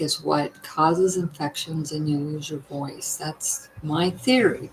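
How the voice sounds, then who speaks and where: A woman's voice: lowish voice, a little hiss or hum, rough recording. An older woman with a partly worn, weakened voice, chatting to her viewers at home in an emphatic way, often asking "you know what?". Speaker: vlogger sharing health tips. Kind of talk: casual talk to viewers.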